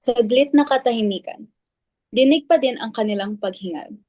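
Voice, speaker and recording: Female narrator, Filipino accent, higher-pitched voice recorded dry, reading stage directions. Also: moderate pace, warm tone, dry recording. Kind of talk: scripted narration in a dramatic reading.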